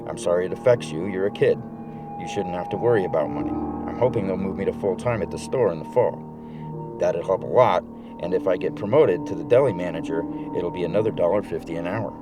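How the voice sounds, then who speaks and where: A man's voice: deep voice, fairly quick, natural voice. A man voicing a weary, patient but stern working-class father in earnest audiobook dialogue. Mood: apologetic, weary. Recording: music bed behind the voice.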